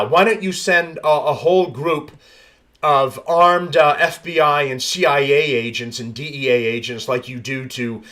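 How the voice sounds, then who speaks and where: A man's voice: mid-range voice, a bit bright. A man hosting a podcast, reading news stories aloud and adding blunt, profane commentary with frequent restarts. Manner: blunt, profane, rambling asides. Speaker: podcast host.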